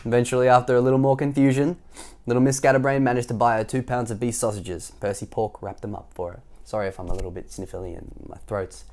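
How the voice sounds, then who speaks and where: A man's voice: mid-range voice, fairly even intonation, fast talker, darkish tone. Sniffly man reading a children's book. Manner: chatty, laughs and goes off on tangents. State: head cold, sore throat, sniffly. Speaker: storyteller.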